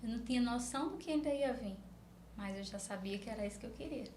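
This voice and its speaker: A Northeastern Brazilian woman speaks as an interview guest in a fairly high voice. She explains things conversationally, with examples, like a practising therapist. The room adds clear echo, with faint noise underneath. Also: fast, darkish tone.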